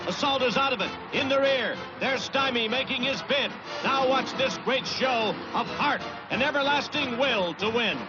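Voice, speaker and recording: American man, very high-pitched delivery, dramatic vintage newsreel race commentary, urgent, dull lo-fi old film soundtrack. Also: moderate pace. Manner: theatrical, urgent, grandiose phrasing. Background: loud music.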